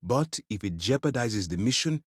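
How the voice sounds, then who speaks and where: A man voicing a stern, principled military commander in a video game, speaking formally and with deliberate authority. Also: medium pitch, lively intonation, husky, no echo, studio quality. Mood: composed, confiding. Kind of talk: scripted video game dialogue.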